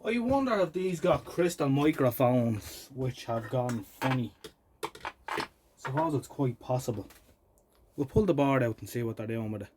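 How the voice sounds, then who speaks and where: An Irish man with a mid-pitched voice talks casually through a hands-on repair. He is informal and unhurried, half muttering to himself. There is some faint noise behind him. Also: lively intonation. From Ireland. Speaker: presenter of a vintage radio repair channel.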